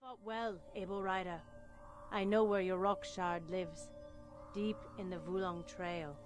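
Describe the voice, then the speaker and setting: An actress playing a cryptic alien native guide in a video game. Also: female voice, medium pitch, steady tone, a little slow, music in the background, natural voice. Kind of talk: video game character acting.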